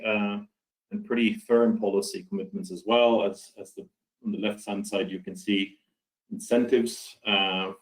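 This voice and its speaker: A man from Norway giving a conference talk over a video call, speaking haltingly with many fillers and restarts. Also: fairly low pitch, a little mellow, rough recording. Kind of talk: online conference presentation.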